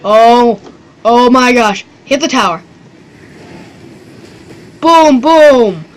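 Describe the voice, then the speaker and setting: A woman hosting her own channel, giving loose, excitable commentary on a strategy game battle, rambling and swearing now and then. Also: on the high side, very slow, faint music behind, low-quality recording. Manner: rambling and excitable, swears, does silly voices. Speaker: gaming channel host.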